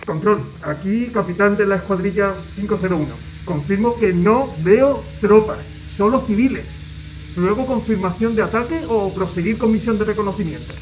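A man's voice: high-pitched, moderate pace, dull audio, a little hiss or hum, lightly acted. A man playing a cool military squadron captain in an audio drama, reporting in a clipped, formal way. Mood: cool, commanding. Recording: distant voice over music.

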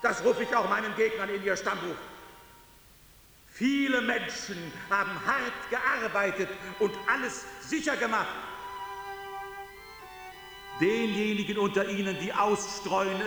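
An actor playing a pompous, self-satisfied ruler declaiming a political speech in a radio play. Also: male voice, high-pitched, creaky. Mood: grandiose pride. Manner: pompous, declamatory, slow oratory. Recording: long echoing hall reverb, loud music.

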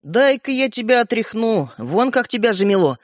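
A man voicing a pushy, excitable, cheeky young brother in a children's story, brash and exclaiming. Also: very high-pitched, normal speed, dry recording, studio quality, voice pushed up. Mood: sly.

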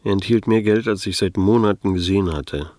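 A man's voice: fairly low pitch, brisk, darkish tone, dry recording, close to an everyday voice. An actor playing a disillusioned, wry male private detective in a noir radio drama, speaking in a dry, laconic manner. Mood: wry, reflective. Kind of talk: noir radio drama, first-person narration.

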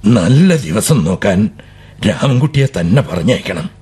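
A man's voice: on the low side, very animated, measured pace, a little mellow, breathy edge, exaggerated voice. An actor playing a proud, stern elderly father in a radio drama, harsh and commanding, breaking into sobbing rage. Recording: old AM radio broadcast.

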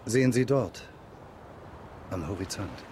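Messenger, low voice, terse, dutiful, radio drama, noisy ambience. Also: male voice, animated, unhurried, darkish tone. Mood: quiet, pointing. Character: brief, dutiful male messenger delivering a letter. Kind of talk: German radio drama acting.

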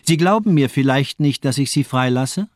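Casting: male actor for radio drama villain, a zealous, mocking doctor; animated, swooping delivery, insistent and rhetorical, close dry sound. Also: medium pitch, normal speed, dry recording, close to an everyday voice. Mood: cold fervour.

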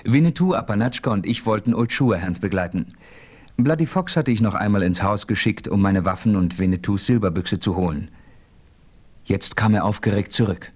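An actor as a composed western adventurer, telling his own story in a German radio play with measured narration. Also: lowish voice, expressive, breathy edge. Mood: composed, narrating. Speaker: first-person narrator.

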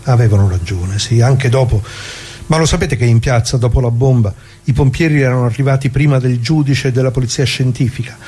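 An actor playing an elderly, plain-spoken working-class man from northern Italy in a conversational monologue, talking haltingly and colloquially straight to listeners. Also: mid-range voice, mellow, slightly rough, natural voice. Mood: wry, bitter. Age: older.

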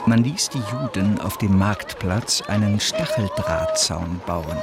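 Low male narrator, grave radio play chronicle, slow, loud noise behind him. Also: huge pitch swings, heavily acted.

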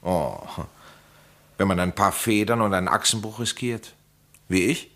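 An actor playing an eager, insistent amateur sleuth in a crime radio play, speaking with dramatic emphasis. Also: male voice, fairly low pitch, a little slow, fairly bright tone. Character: insistent amateur sleuth, a male playwright under suspicion. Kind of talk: vintage German crime radio play acting.

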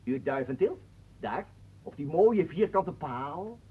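An actor playing a pompous, obsequious, scheming artist in a radio play, speaking in a mannered, formal way. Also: male voice, on the high side, animated, takes it slowly, breathy edge, full character voice. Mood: unctuous, formal. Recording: muffled, narrow-band old radio recording.

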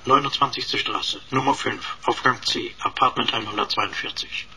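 An actor in a radio drama playing a cheeky computer sidekick, speaking in a pompous, wordy, mock-deferential manner. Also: male voice, mid-range voice, steady tone, normal speed, bright, forceful tone, slight background noise, poor audio. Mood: smug, pompous.

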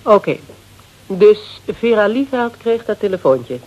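A woman's voice: medium pitch, expressive, unhurried, darkish tone, highs cut off. An actress in a radio play, playing a cool, tough, mocking female interrogator who fires curt, commanding questions. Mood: curt, probing. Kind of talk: Dutch radio play dialogue.

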